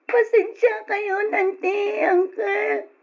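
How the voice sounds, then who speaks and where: A woman's voice: very high voice, flat delivery, takes it slowly, vocal fry, full character voice. A woman voicing a timid young housemaid who cries and pleads, in a radio drama. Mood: tearful pleading. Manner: crying and pleading. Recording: dull lo-fi audio with faint noise.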